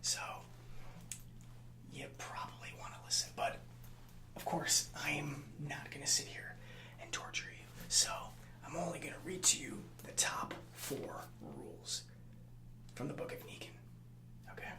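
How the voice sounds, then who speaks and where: A man playing a nice, easily hurt assistant to a gang leader in an ASMR roleplay, speaking softly with hesitant, placating stammers. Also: on the high side, very animated, unhurried, pauses often, roomy sound. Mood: instructive, earnest.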